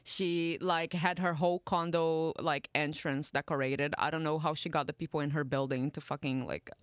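Young woman, smooth clean voice, dry close sound, chatty, informal, casual storytelling. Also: sits low, steady tone, moderate pace, no echo. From Brazil. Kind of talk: casual chat and anecdote.

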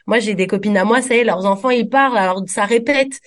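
A woman's voice: medium pitch, fast talker. A woman radio host asking friendly, conversational interview questions.

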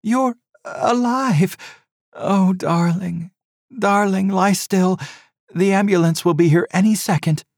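A man's voice: sits high, very animated, measured pace, few pauses, breathy edge, dry recording, studio quality, voice pushed up, heavily acted. A man voicing a doctor's wife and former nurse, speaking tenderly and pleadingly to her husband.

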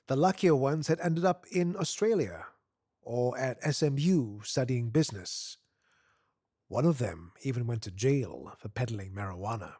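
A man's voice: medium pitch, a little mellow, no echo, clean studio sound. A man narrating a piece of short fiction aloud in a scripted, literary reading style.

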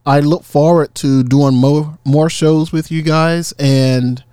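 A man's voice: a little high, steady tone, slow pace, warm tone, clean tone, no echo, studio quality. A man hosting a casual podcast discussion, talking in an encouraging, affirming way. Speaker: podcast host.

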